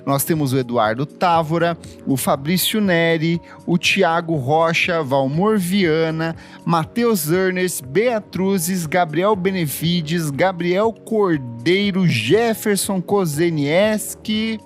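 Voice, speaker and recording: A man's voice: fairly high pitch, lively intonation, slow, hardly any pauses. A man from Brazil co-hosting a casual music podcast, reading listener comments aloud and teasing. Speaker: podcast co-host. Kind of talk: casual chat.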